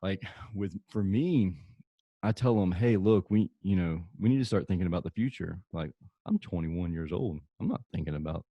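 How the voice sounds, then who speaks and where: A man hosting a podcast, talking in a relaxed, rambling way full of fillers. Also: deep voice, normal speed, no room sound, dull audio. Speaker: podcast host. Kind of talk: conversational interview.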